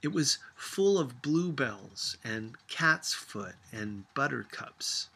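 A man narrating a literary story as an audiobook reader, with measured and deliberate phrasing. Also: mid-range voice, expressive, pushed and bright, husky.

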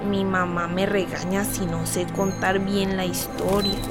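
A woman's voice: mid-range voice, little pitch movement, unhurried, lightly acted. An actress playing a playful, wistful eight-year-old girl in a radio drama monologue, speaking in a simple, childlike way. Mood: reluctant, worried. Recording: music behind the voice.

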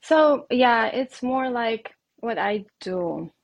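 A woman's voice: a little high, lively intonation, slow, vocal fry, no echo. Russian-accented woman, hesitant and dry-recorded. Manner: hesitant, many ums, laughs lightly. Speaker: guest coach. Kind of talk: casual interview answers.

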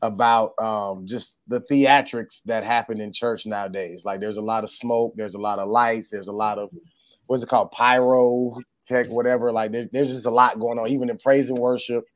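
A man hosting a casual podcast chat, his voice hoarse, often saying "gotcha" and "mm-hmm" as he talks. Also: medium pitch, steady tone, normal speed. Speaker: podcast host.

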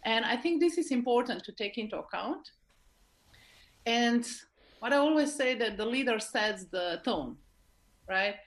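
A woman's voice: on the high side, animated, measured pace, long pauses. A woman from Slovenia with a Slovenian accent, answering in an informal interview style and often checking for agreement. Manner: informal, often seeks agreement with tag questions. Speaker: interview guest, leadership expert.

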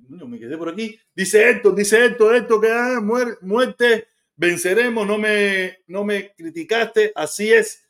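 High-voiced Cuban man ranting. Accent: Cuban Spanish. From Cuba. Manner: blunt, argumentative, swears freely. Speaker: live stream host reading chat. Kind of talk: casual live stream chat.

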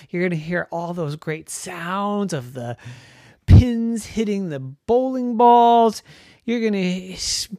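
A storyteller voicing a group of excited, bossy bowling pins who cheer and tease. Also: medium pitch, no room sound, clean studio sound, voice pushed up. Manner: boisterous, cheering, teasing. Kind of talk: storybook voice acting.

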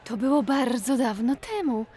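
A woman's voice: high-pitched, lively intonation, normal speed, mellow, breathy edge. A woman playing an eager, curious little girl full of wonder in a children's audio play. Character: curious, enthusiastic little girl exploring with brother.

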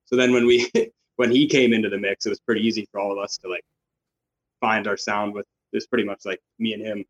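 A man's voice: lowish voice, little pitch movement, fast talker, slight background noise, rough recording. A man in his late twenties, a band member speaking in a casual interview, laid-back and trailing off at the ends of his sentences. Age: 20s.

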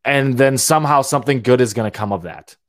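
A man's voice: mid-range voice, lively intonation, quick pace, a bit bright, no room sound. Young man, podcast host, heated monologue with repeats and profanity; dry, close recording. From Romania. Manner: heated, ranting, repeats and restarts words, swears freely. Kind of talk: opinionated monologue.

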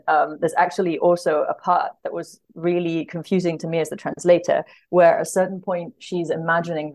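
A woman's voice: sits low, a little mellow. A woman speaking as a guest translator in casual podcast chat, thoughtfully telling anecdotes and often circling back to rephrase.

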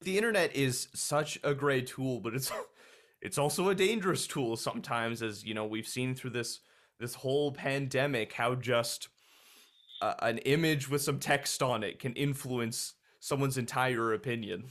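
A man's voice: medium pitch, a little slow, a bit bright. Casual male interviewer, full of likes. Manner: loose, many likes and fillers.

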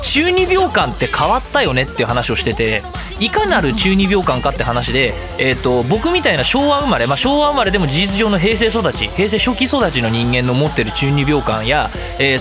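A man hosts a casual internet radio show in a high, very lively voice. He talks fast and rambles with geeky enthusiasm, dropping into little acted-out quotes and exclamations. Music plays behind him.

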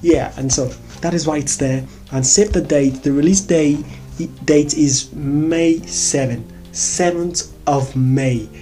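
A Nigerian-accented vlogger giving casual movie recommendations in a chatty, excitable way, laughing often and repeating words. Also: mid-range voice, slow, soft background music.

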